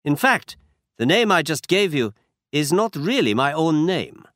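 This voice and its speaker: A man's voice: fairly high pitch, very animated, a little slow, fairly bright tone, dry recording, studio quality, voice pushed up. A man voicing a huge, anxious foreign king who speaks formally with a German accent. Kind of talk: audiobook character reading.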